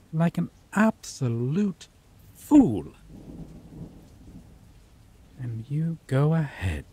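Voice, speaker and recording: Guy doing a possessive vampire captor for asmr roleplay, polite but menacing, theatrical, dark mellow voice that swings up and down a lot. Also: fairly high pitch, husky, heavily acted. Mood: cold, menacing. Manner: slow, formal, theatrical, endearments laced with threat. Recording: rain and thunderstorm noise behind. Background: rain and thunder.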